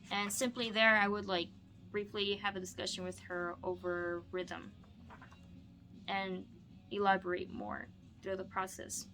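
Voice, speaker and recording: Bright-voiced woman reading aloud, unhurried. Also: medium pitch, slight background noise. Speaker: teacher demonstrating a read-aloud lesson. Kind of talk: picture-book read-aloud with teaching asides.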